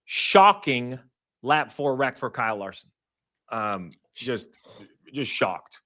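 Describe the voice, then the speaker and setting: A man with a hoarse voice hosting a live post-race show, upbeat and conversational. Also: medium pitch, lively intonation, slow pace, sharp tone. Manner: upbeat, conversational, reads fan chat aloud. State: hoarse, says he lost his voice. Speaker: show host.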